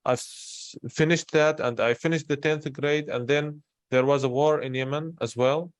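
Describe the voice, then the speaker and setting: A man's voice: fairly high pitch, steady tone, normal speed, no room sound. A young man from Syria, talking candidly about himself in a personal conversation. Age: young adult. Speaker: interviewer in a conversation.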